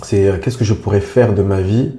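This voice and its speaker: A man's voice: fairly low pitch, steady tone, a little fast, warm tone. A man in his forties from Congo, a podcast guest talking casually and hesitantly about his life. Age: 40s. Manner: hesitant, many fillers and restarts, informal. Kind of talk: casual interview talk. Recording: roomy echo with faint music.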